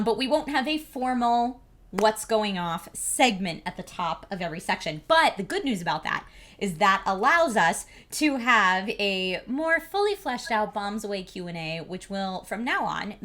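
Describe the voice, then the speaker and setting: A woman's voice: mid-range voice, measured pace, a little hiss or hum. A woman co-hosting a casual video-game chat show, talking in a chatty way and asking many questions. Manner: chatty and animated, asks lots of questions. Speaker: co-host.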